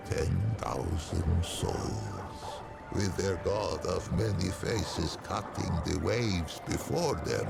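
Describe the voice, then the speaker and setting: A man voicing an ancient, weary, non-human priest of the dead in a video game, with a gravelly voice and a slow, formal, archaic, musing manner. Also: full character voice. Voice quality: deep gravelly creaking voice. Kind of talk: theatrical video game character dialogue. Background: music.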